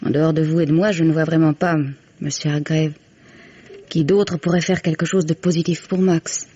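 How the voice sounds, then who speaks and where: An actress playing a composed, candid young mistress in 1950s French radio drama, with measured, formal diction. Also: female voice, deep voice, flat delivery, warm tone. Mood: composed, confiding. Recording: dull, band-limited vintage radio recording.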